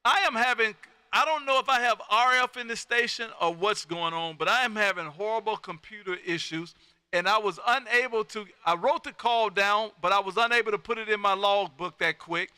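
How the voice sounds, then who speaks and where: Guy doing ham radio chat, real bright high voice, folksy and exuberant, crisp. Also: a little fast, dry recording. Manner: folksy, exuberant, peppers talk with "man". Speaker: amateur radio operator and live stream host.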